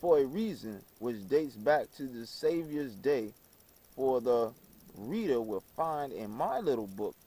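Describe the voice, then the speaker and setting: A man reading an old book aloud in a halting way, stumbling over words and repeating phrases. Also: medium pitch, dark tone. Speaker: reader.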